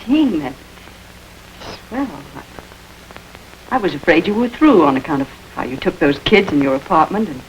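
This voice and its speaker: An actress playing the eager young sweetheart of a gang boss in a 1930s crime film. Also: female voice, low-pitched, expressive, measured pace, pauses often, a little hiss or hum, low-quality recording.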